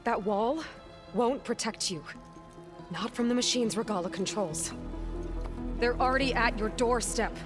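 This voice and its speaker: An actress playing a headstrong, practical young heroine in a video game, speaking directly and insistently. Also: female voice, on the high side, a little slow, pauses often, over music, lightly acted. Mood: warning. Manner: direct, matter-of-fact, insistent. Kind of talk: naturalistic video game acting.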